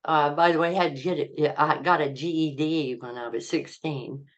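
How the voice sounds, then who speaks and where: An older woman, a comedian, telling stories from her life in a rambling, halting way as an interview guest, laughing often. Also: low-pitched, steady tone, dull audio. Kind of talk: casual interview storytelling.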